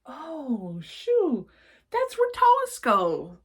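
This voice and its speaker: A sweet little girl monster from a storybook voiced by a woman. Her voice is high and childlike, broken by hiccups. She speaks with innocent curiosity. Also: wildly swooping pitch, drawn-out words, exaggerated voice.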